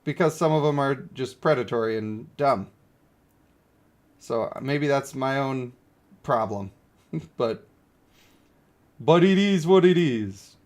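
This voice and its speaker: A man's voice: mid-range voice, animated, takes it slowly, long pauses, a little mellow. A man giving casual, opinionated livestream commentary on a mobile game, blunt and given to exasperated ranting. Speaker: game streamer.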